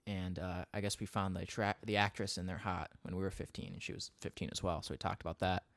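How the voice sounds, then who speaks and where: A young man co-hosting a podcast, chatting casually in a quick, rambling way and laughing often. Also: low-pitched, flat delivery, fairly bright tone, dry recording. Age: young adult. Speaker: podcast co-host. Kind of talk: casual chat. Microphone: studio podcast mic.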